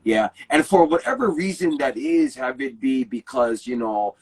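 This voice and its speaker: Husky-voiced Hawaiian man. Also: medium pitch, moderate pace. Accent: Hawaiian Pidgin English. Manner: earnest, animated speech in Pidgin phrasing. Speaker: guest. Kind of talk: casual chat.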